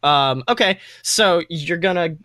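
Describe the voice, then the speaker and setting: A man's voice: fairly high pitch, animated, unhurried, bright, forceful tone, no echo, clean studio sound. A young man casually narrating a tabletop game as game master, playful, exclamatory and sweary. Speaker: game master and podcast host.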